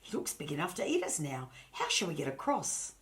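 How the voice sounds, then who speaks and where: Older woman as curious, excitable young girl, storybook read-aloud, eager exclamatory delivery. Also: sits low, brisk. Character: young girl, curious, excitable, scolding her little dog.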